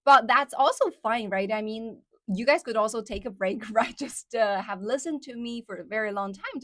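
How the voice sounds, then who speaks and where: Woman host, native Chinese speaker with a Midwest-leaning American accent, higher voice, casual livestream chatter. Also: no room sound, studio quality. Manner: chatty, many fillers like "like" and "somehow", laughs often. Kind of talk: casual livestream chat with viewers.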